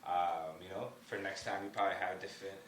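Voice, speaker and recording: A man's voice: low voice, steady tone, fast talker, fairly bright tone, far from the mic. A man speaking casually as a podcast guest, slangy and emphatic, with motivational advice-giving delivery. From born in Somalia, lived in Ottawa, Canada. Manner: slangy, emphatic, motivational, peppered with "you know what I mean". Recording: roomy, off-mic, faint background noise.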